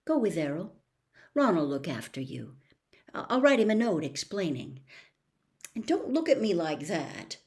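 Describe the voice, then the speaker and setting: A woman's voice: lowish voice, very animated, a little slow, pauses often, darkish tone. A woman voicing a put-upon young teenage boy in a storybook, stubborn and earnest, with an informal, pleading manner. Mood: gloomy, apologetic.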